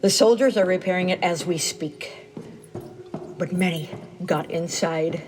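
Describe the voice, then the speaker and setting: A woman playing a solemn, commanding guardian of a princess in a fantasy audio drama, speaking in measured, formal lines. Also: lowish voice, natural voice. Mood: urgent. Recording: music under the voice.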